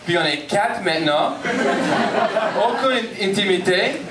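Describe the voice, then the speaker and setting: Man in his thirties, anglophone Montreal accent, English native speaker, stand-up comedy, high-pitched, echoey room picked up from afar. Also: lively intonation, measured pace, far from the mic, heavy background noise. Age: 30s. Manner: self-deprecating, punchy, frequent "ok?" check-ins. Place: comedy bar stage.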